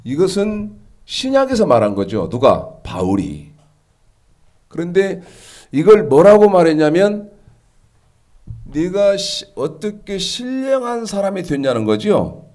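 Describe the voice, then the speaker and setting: A man in his sixties preaching a Sunday sermon, his voice stuffy and nasal from a cold, rising high and emphatic as he drives his points home. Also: very animated, slow pace, long pauses, a little mellow. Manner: rises to an emphatic raised pitch when preaching. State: congested with a cold. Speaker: pastor.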